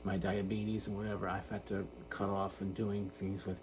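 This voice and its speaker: Casting: male vlogger, fifties, lowish voice, halting and introspective, speaking off the cuff, faint noise behind him. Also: flat delivery. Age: 50s. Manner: halting, self-reflective, repeats words. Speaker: video creator talking about personal issues. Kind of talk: unscripted personal monologue to camera. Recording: noticeable background noise.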